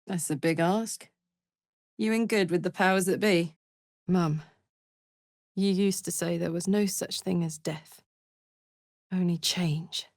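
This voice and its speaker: A woman voicing a brash, warm-hearted and rowdy female adventurer in a video game, with blunt, informal and expressive delivery. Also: on the low side, takes it slowly, long pauses, dry recording, clean studio sound. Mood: tender, wistful.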